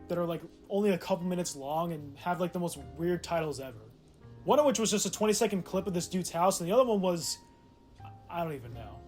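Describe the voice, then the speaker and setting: A man giving casual, sarcastic and irreverent commentary as a video essay host, mixing scripted lines with offhand asides. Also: sits high. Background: music.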